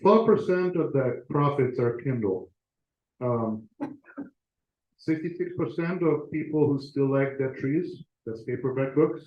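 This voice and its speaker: A man's voice: mid-range voice, a little slow. A man giving an informal, conversational talk as a guest speaker to an audience, with a self-deprecating touch. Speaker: author and guest speaker presenting to a club. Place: meeting room, talk to a live audience. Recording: echoey room, slightly muffled audio.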